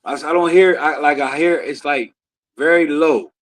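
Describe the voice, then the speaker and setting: A man joining a casual podcast remotely, talking relaxed and slangy, calling people cuz. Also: a little high, moderate pace. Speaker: podcast guest. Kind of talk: casual chat.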